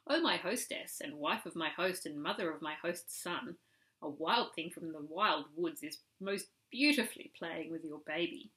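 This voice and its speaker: A woman plays a little bat from a storybook, a gossipy bringer of news. The voice is bright and the delivery measured and deliberate. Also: sits low, animated. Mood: formal.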